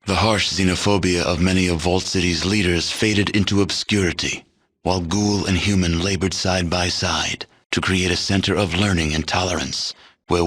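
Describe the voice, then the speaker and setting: An actor playing a grave, world-weary storyteller narrating a post-apocalyptic video game in a measured, solemn, deadpan way. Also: male voice, low-pitched, breathy edge.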